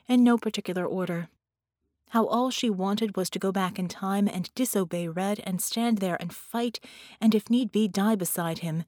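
Expressive female audiobook narrator, dry recording. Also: medium pitch, no room sound. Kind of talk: dramatic scripted fiction narration.

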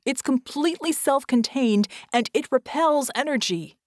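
A woman voicing a blunt, exasperated male action hero in an audiobook, yelling emphatically with sarcasm. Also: high voice, moderate pace, no room sound, clean studio sound, voice pushed up, close to an everyday voice. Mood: alarmed.

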